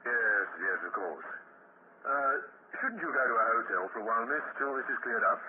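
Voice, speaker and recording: An actor playing a plain, reassuring police sergeant in an old radio play. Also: male voice, mid-range voice, animated, normal speed, natural voice. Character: matter-of-fact police sergeant, male, middle-aged. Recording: dull, hissy old radio recording.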